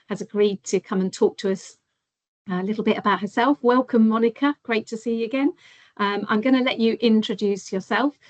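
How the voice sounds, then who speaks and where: A woman's voice: medium pitch, no room sound, poor audio. Courteous woman chairing a formal meeting. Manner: courteous, brisk, with frequent thanks.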